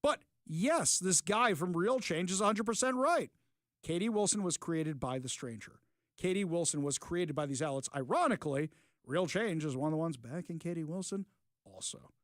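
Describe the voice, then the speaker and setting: Male talk radio host, middle-aged, high pitch, combative and emphatic. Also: expressive, moderate pace, a bit bright, dry recording, studio quality. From Seattle. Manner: emphatic, combative, punchy short declarations. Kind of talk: opinionated talk radio commentary. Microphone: studio microphone.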